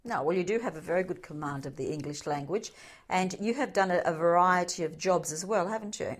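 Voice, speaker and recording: Woman interviewer, lower pitch, measured prompting tone. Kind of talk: oral history interview.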